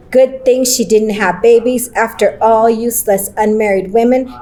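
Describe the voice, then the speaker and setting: A woman on a conference panel reading her own short creative story aloud, slow and deliberate, with Spanish phrases woven into her English. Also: medium pitch, darkish tone, poor audio. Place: conference roundtable session.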